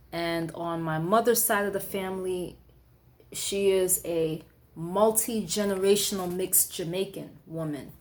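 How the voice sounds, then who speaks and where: A woman poet giving a thoughtful, conversational late-night monologue, often pausing with "you know" and "um", and reading her own writing aloud with extra force. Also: on the low side.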